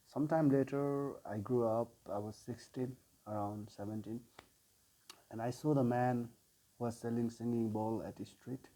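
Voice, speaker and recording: A man in his forties with a Nepali accent tells his own story as a sound healer. His voice is mellow and rather low, and he speaks very slowly with many pauses and filler sounds. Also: low-quality recording. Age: 40s. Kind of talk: personal life story told to camera.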